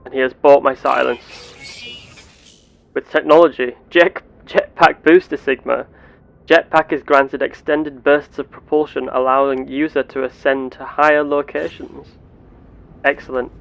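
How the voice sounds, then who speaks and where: A man narrating his gameplay in character as an earnest, curious starship captain, with formal, deliberate wording. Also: medium pitch, fairly even intonation, gravelly, a bit bright, dull audio. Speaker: player of a roleplay game series.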